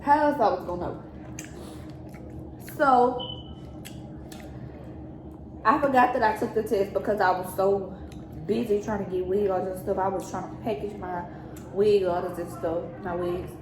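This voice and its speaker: A young woman with a medium-pitched voice recounts her own story conversationally, quoting back what was said. She is in an echoey room with noticeable noise. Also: moderate pace, long pauses. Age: young adult. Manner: conversational, quotes remembered exchanges. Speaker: daughter co-hosting a storytime vlog. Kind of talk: casual personal storytelling.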